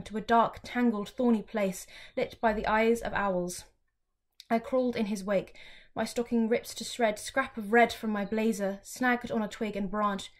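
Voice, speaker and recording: A young woman giving a dramatic poetry reading, with deliberate, measured phrasing. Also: medium pitch. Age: young adult. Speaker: student reciting poetry. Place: small furnished room at home, indoors.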